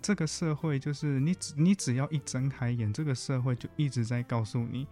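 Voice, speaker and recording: A man's voice: medium pitch, a little fast, dark tone, faint music behind. A man with a Taiwanese accent talking reflectively as a guest counselor in a casual interview, often rephrasing his points.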